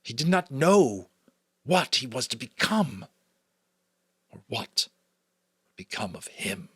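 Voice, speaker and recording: A middle-aged American man reading a novel aloud theatrically, with hushed asides and casual commentary. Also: medium pitch, big pitch swings, takes it slowly, breathy edge, no echo, clean studio sound. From United States. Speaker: audiobook reader and host.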